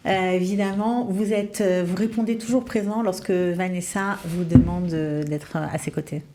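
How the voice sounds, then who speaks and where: A woman's voice: on the low side, steady tone, normal speed, very noisy. A woman interviewing guests in a casual, chatty style.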